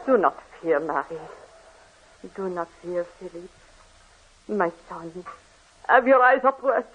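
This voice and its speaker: An actor playing a devout, fearless condemned aristocrat, speaking in a solemn, declamatory manner in an old radio drama. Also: male voice, sits high, lively intonation, slow pace, a little hiss or hum. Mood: solemn, resolute. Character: devout, unafraid condemned aristocrat comforting family. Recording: dull old radio recording, faint crowd.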